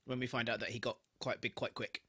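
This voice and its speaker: A man co-hosting a podcast in casual chat, animated, giggly and sweary as he tells self-deprecating anecdotes. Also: mid-range voice, fast talker, breathy edge. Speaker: podcast co-host.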